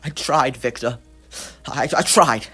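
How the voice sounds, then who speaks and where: An earnest, alarmed male friend, with a medium-pitched voice. He pleads urgently in theatrical radio drama style, with music clearly behind him. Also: takes it slowly, bright, forceful tone, full character voice. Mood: stricken. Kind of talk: old-time radio drama acting.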